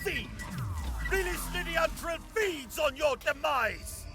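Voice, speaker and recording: A man's voice: very high voice, animated, takes it slowly, heavily acted. A man playing a valiant, boastful elf warrior in an audio drama, declaiming exuberantly over music. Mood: exultant defiance. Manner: exuberant, declamatory battle cries. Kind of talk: scripted audio drama acting. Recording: music behind the voice.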